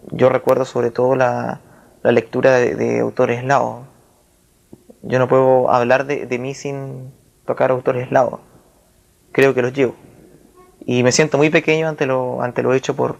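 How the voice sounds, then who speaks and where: A man talks like a writer in a thoughtful interview. His voice is creaky and stays within a narrow, even range. His phrasing is formal and literary, delivered haltingly as he searches for the exact word. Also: mid-range voice. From Chile. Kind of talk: reflective literary interview with recited verse.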